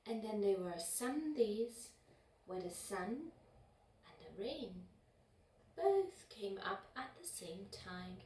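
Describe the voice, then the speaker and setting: A woman telling a children's puppet show story in a gentle, sing-song way. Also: medium pitch, expressive, takes it slowly, a little hiss or hum. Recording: roomy echo, distant microphone.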